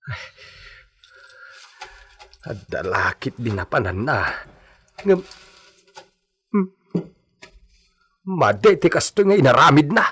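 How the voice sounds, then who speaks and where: Man acting husky, fretful humble farmhand. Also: medium pitch, fairly bright tone, exaggerated voice. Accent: Filipino. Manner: fretful, halting, deferential. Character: worried, humble farmhand husband searching for his wife. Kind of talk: Ilocano radio drama acting.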